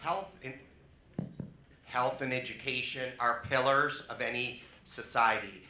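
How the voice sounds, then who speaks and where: A man's voice: mid-range voice, unhurried, sharp tone. A man speaking on a conference panel, earnest and explanatory, stressing his figures. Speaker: panelist, investor. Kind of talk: panel talk. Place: conference hall. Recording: roomy conference hall.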